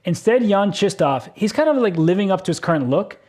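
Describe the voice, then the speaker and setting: Excitable man calling chess. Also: high-pitched, brisk. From United States. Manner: animated, exclaims at moves, laughs easily. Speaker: chess commentator. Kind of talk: live blitz chess commentary.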